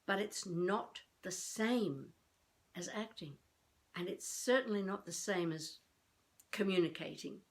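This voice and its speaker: An older woman from Australia giving improvised instruction as a voice coach, speaking deliberately in spaced phrases with theatrical emphasis. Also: medium pitch, expressive. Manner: deliberate, with spacious pauses between phrases. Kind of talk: improvised instructional talk to camera.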